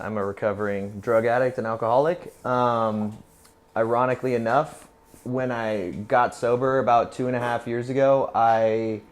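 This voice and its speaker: American man, thirties, fairly low pitch, candid, matter-of-fact, casual interview talk. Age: 30s. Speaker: barber. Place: inside a barbershop.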